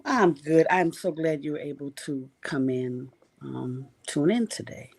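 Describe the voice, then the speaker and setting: A woman hosts an interview show, greeting her guest in a friendly, welcoming way. Her voice is quite low for a woman. Her pitch still moves a lot and sounds lively. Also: unhurried, gravelly, breathy edge. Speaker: podcast host. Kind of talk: casual interview chat.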